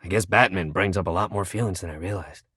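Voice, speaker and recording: A man's voice: low voice, fast, a bit bright, husky, no room sound, studio quality. A man voicing a sporty young guy in a video game, an upbeat badminton lover who talks in slangy, buddy-like coach pep talk. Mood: wistful, reflective. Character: upbeat, sporty young badminton-loving dude, animated shuttlecock. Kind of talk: video game character voice acting.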